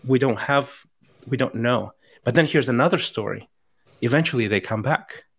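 A man's voice: medium pitch, moderate pace, gravelly. A middle-aged man from Chile with a Chilean accent, talking earnestly as a podcast guest and often restarting his sentences. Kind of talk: casual conversation.

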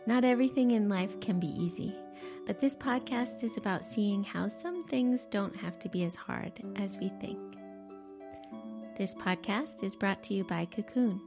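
A woman hosting her own podcast in a soft, quiet voice, a little stuffy and sniffly from allergies, talking gently with frequent ums and restarts. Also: mid-range voice. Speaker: podcast host and life coach. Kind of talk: solo podcast monologue.

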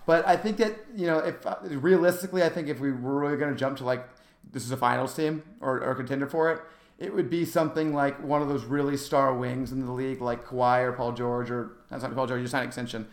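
A man's voice: medium pitch, steady tone, fast talker. A man co-hosting a sports podcast, chatting informally and animatedly about basketball, with a roomy echo on his voice. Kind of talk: casual basketball discussion. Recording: roomy, echoey sound.

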